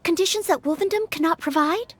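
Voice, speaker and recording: An actress playing an earnest, studious young maid knight in a video game, thinking aloud in polite, formal phrases. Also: female voice, extremely high pitch, fairly quick. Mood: pondering. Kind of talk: English video game voice acting. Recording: faint music behind the voice.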